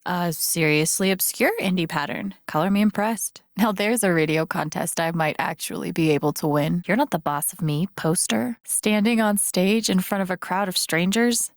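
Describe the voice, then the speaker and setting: A voice actress playing a wry, warm-hearted young woman who mutters her thoughts to herself in a naturalistic, understated video game style. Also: lowish voice, moderate pace, hardly any pauses, no room sound, close to an everyday voice. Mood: wry, amused. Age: young adult. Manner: dry, understated, self-talking wit.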